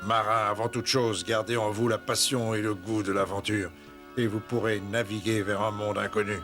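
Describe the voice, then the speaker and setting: A man's voice: fairly low pitch, flat delivery, normal speed, lightly acted. Guy doing an old cartoon sailor, proud and nostalgic storyteller, music behind him. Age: older. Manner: reminiscing, proud, instructive. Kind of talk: dubbed cartoon acting.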